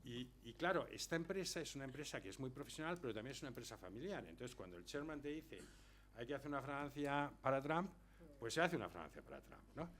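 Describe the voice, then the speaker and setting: An older man, the author of a book, answering audience questions in a relaxed, anecdotal way, often tagging his sentences with a quick question. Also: medium pitch, a little hiss or hum. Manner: chatty anecdotal storyteller, lots of tag questions. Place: lecture hall before an audience.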